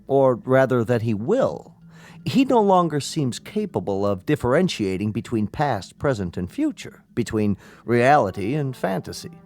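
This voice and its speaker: Formal, expressive family doctor. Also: male voice, medium pitch. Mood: grave, explanatory. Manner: deliberate, formal, professorial. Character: measured, pedantic male family doctor, gravely polite. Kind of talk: radio drama acting.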